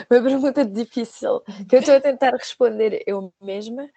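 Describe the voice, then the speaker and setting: Mellow young woman, hesitant podcast guest. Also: fairly high pitch, moderate pace, no echo, lo-fi sound. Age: 20s. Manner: hesitant, searching for words with repetitions. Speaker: podcast guest, former trainee. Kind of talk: conversational interview answers.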